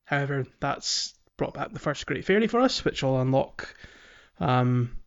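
A man hosts a casual gaming podcast, talking in a chatty, descriptive way at a medium pitch. The audio is a little dull and lo-fi, with the top end softened. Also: lively intonation, a little slow, creaky, clear, resonant tone. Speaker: podcast host.

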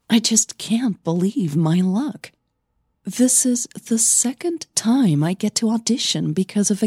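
A woman voicing a guarded, hesitant young singer in a hushed, breathy way for an audio drama. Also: mid-range voice, no echo, studio quality.

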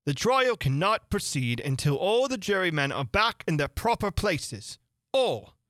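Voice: medium pitch, very animated, normal speed, a bit bright, dry recording, voice pushed up. Storybook king acted by an adult reader: pompous, blustering, muddled judge, emphatic delivery, dry studio-like closeness. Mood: commanding.

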